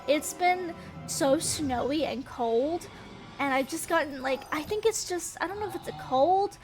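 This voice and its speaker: A young woman chatting casually while streaming a game, giggly and rambling, with a stuffy, congested nose. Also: high voice. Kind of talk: casual gameplay chat.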